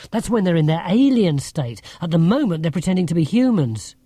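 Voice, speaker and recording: A man plays an anxious schoolboy in a children's audiobook. He is earnest and insistent, with a soft, mellow tone. His pitch moves about freely as he argues his worried case. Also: big pitch swings, brisk, no room sound, exaggerated voice. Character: anxious, earnest schoolboy convinced of alien threat.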